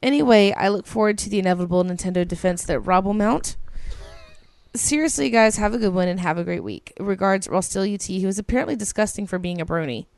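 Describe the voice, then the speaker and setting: Casting note: woman co-host, medium pitch, chatty and excitable, swears, reads email on a studio mic. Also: quick pace, gravelly, dry recording. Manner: gushing, swears freely, many ums. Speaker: podcast co-host. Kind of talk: casual chat, reading listener emails aloud.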